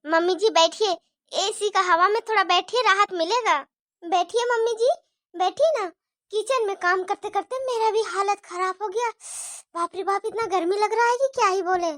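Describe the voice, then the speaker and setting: A woman's voice: very high-pitched, normal speed, no echo, studio quality. A woman playing a scheming elder daughter-in-law who mutters her plots and sweet-talks her mother-in-law in a homemade family drama skit. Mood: sweet, evasive. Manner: plotting in hushed mutters, sweet to her face.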